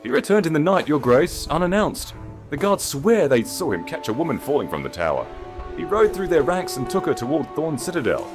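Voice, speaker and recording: A man's voice: a little high, sing-song, fairly quick, pitched above the performer's own voice. A man voicing a nervous, fearful male regent in a fantasy audiobook. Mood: alarmed. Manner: stammering, deferential. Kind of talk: fantasy audiobook character dialogue. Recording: loud music under the voice.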